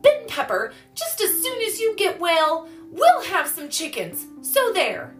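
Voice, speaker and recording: A woman's voice: very high-pitched, wildly swooping pitch, a little slow, fairly bright tone, faint music behind, voice pushed up, exaggerated voice. A woman voicing a caring, bubbly little girl in a storybook, with eager, exclamatory, folksy old-fashioned speech. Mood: cheerful, brisk. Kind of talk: storybook character voice.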